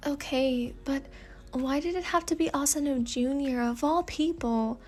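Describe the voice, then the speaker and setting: A woman's voice: high-pitched, measured pace, a little mellow, slight background noise. A woman voicing a sceptical, sarcastic seventeen-year-old girl with a dry, muttering delivery in a story reading.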